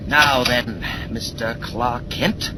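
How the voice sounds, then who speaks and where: A man acts out a scheming gangland boss from a 1940s radio serial. He is suave and menacing at a middling pitch, with urgent commands. The old recording sits under heavy noise and vehicle rumble. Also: fairly even intonation, takes it slowly, lightly acted. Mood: smooth menace.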